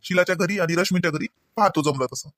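A man's voice: high voice, fast, fairly bright tone, no echo, clean studio sound. A man voicing an easygoing, agreeable husband in a cartoon, rambling casually. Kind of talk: conversational cartoon dialogue.

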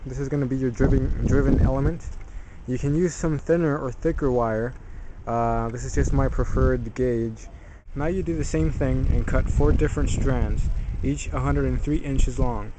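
A man presenting a hands-on DIY tutorial in an informal, halting way, correcting himself as he explains. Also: medium pitch, mellow, a little hiss or hum.

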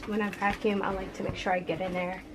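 A woman's voice: medium pitch, quick pace, background noise. A young woman chatting casually at home as a vlogger, with lots of "like" fillers. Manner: chatty, relaxed, with frequent "like" fillers. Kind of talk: casual vlog chat. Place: home, indoors.

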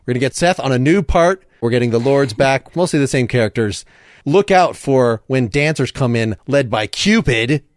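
A man's voice: mid-range voice, expressive, normal speed, dry recording. A man hosting a play reading, casually and wryly giving out stage directions.

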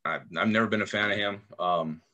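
A man's voice: fairly low pitch, brisk, sharp tone, highs cut off. A man co-hosting a casual postgame sports show from home over a video call, frank with wry jokes and a habit of repeating himself. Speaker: co-host of a sports fan show. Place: home room.

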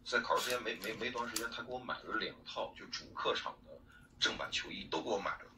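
A man's voice: on the low side, expressive, fast talker. A man casually telling a personal story in a chat. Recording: echoey, distant, noisy playback.

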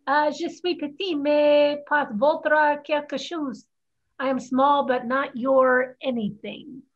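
A woman's voice: high voice, steady tone, slow. A woman playing a proud, guarded young person living as a boy, terse and firm in stage dialogue. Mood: guarded, defiant. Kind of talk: dramatic dialogue in a play reading. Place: indoors at home on a video call. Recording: dull video-call audio.

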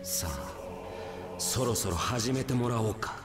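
A man's voice: medium pitch, lively intonation, measured pace, natural voice. A man playing a cold, scheming, commanding villain in an anime game. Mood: coldly commanding. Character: cold, scheming male villain controlling others. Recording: game dialogue over loud music.

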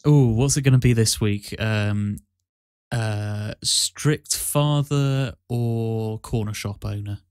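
A man's voice: lowish voice, slow, darkish tone, smooth, dry recording, clean studio sound. A man hosting a podcast in casual, wry, joking chat. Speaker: podcast host.

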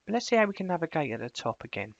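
A man presenting a screencast software tutorial, switching between casual explanation and clipped spoken commands. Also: on the high side, sing-song, rapid-fire, vocal fry, dry recording, lo-fi sound.

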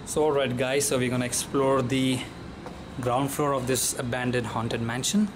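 A man vlogging while exploring a dark abandoned house indoors, talking in hushed tones, swearing, and addressing viewers as guys on a handheld camera mic. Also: mid-range voice, little pitch movement, moderate pace. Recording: echoey rooms, noisy background.

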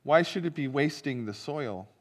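A man's voice: mid-range voice, lively intonation, normal speed. A middle-aged man, a pastor, reading the gospel and preaching a sermon in a church sanctuary, telling the story vividly and asking the congregation questions.

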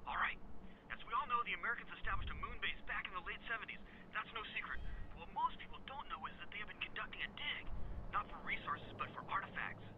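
A man's voice: sits high, lively intonation, fast talker, dull audio, background noise. An actor playing a paranoid male conspiracy caller phoning a radio show, ranting urgently.

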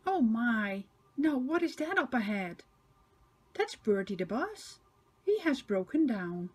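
An older woman narrating a picture book for small children, expressive and emphatic with sing-song repetition. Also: a little high, slow, breathy edge. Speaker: storybook reader for children.

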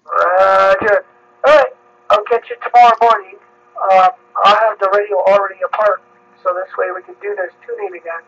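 A man's voice: high-pitched, steady tone, normal speed, a bit bright. A man chatting casually as a radio operator, his voice coming through a CB radio. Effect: CB radio transmission. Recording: dull, noisy CB radio audio.

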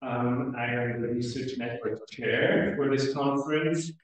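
A middle-aged man from Switzerland giving a conference welcome speech as chair, speaking haltingly with frequent hesitant pauses. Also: mid-range voice. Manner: halting, hesitant, pausing often. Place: indoor lecture room at a lectern. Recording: very echoey room, far from the microphone.

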